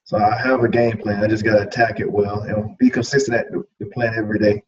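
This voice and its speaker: An American man on a casual group video call, talking in a hesitant way with pauses. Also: fairly low pitch, little pitch movement, a little mellow, echoey room, a little hiss or hum. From United States. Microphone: video call, away from the mic. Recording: distant, dull call audio.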